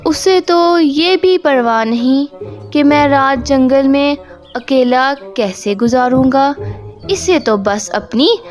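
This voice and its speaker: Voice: high-pitched, expressive, takes it slowly, faint music behind. A performer voicing a meek young male husband bird in a Hindi cartoon, plaintive and pleading. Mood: worried, complaining.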